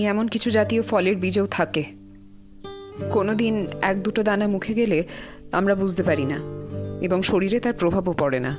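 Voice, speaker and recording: A woman's voice: mid-range voice, lightly acted. A woman playing a distressed sister who protests her innocence in earnest, pleading tones in a Bengali audio drama.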